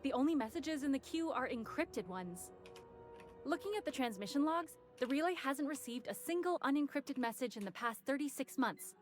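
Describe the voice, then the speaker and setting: Female game character, space-station contact, crisp, articulate, dryly witty, fairly high voice, faint music. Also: lively intonation, normal speed. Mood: businesslike, puzzled. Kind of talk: video game character dialogue.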